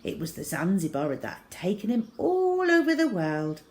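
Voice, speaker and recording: Female storyteller, mid-pitched, for children's book narration; expressive, with dramatic emphasis and hushed moments. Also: normal speed. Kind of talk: expressive first-person children's audiobook narration.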